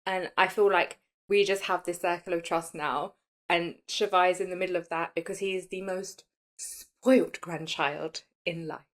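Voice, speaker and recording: A woman in her late thirties with a fairly low voice, hosting a personal podcast. She speaks in a chatty, candid and conversational way, as if sharing her own life with listeners. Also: steady tone, normal speed. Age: late 30s. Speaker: podcast host. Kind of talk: casual personal podcast monologue.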